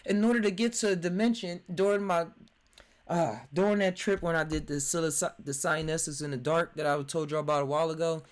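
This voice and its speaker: A man in his twenties hosting a podcast, telling his stories excitedly, laughing often and restarting his sentences. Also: a little high, a little fast. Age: 20s. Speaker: podcast host. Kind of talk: casual chat. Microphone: remote voice chat.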